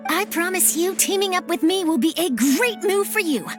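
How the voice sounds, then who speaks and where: A woman's voice: very high-pitched, animated, moderate pace, soft background music. A woman voicing an eager, nosy young female reporter in a game cutscene, chipper and persuasive. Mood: upbeat. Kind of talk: video game cutscene dub.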